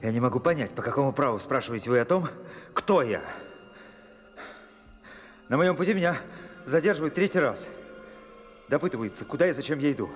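An exhausted, indignant traveller acted by a man in an old radio drama. He speaks articulately at a middle pitch. There is reverb on the voice and faint music behind. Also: expressive, a little fast, long pauses, close to an everyday voice. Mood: weary indignation. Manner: articulate, aggrieved. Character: weary, indignant educated male traveller. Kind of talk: Soviet radio play acting.

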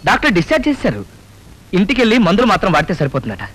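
An actor playing a dutiful young family man in a film, brisk and practical. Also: high-pitched, huge pitch swings, a bit bright, soft background music, full character voice, rough recording.

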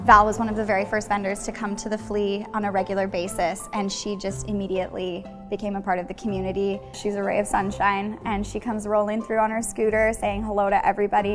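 A woman giving an interview testimonial as a community market organizer. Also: medium pitch. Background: music.

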